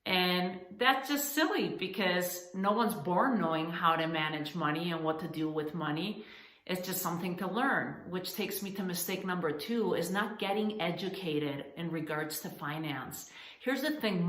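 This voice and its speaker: A woman's voice: lowish voice, a bit bright. Earnest woman from Spain, echoey room. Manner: earnest, encouraging, addresses women as ladies; halting restarts and fillers. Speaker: founder of a coaching channel. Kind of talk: direct-to-camera advice talk.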